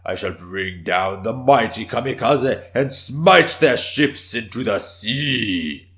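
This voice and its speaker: A man's voice: lowish voice, expressive, slow pace, fairly bright tone, pitched above the performer's own voice, exaggerated voice. A man voicing a boastful, fiery samurai warrior, declaiming grandly and powerfully in a dramatic story reading. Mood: fervent, valiant.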